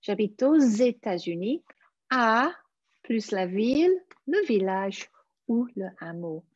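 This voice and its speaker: A woman teaching a live online French lesson, speaking slowly and deliberately and spelling out careful examples for her learners. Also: mid-range voice, lively intonation. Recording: dull, dry lo-fi stream audio.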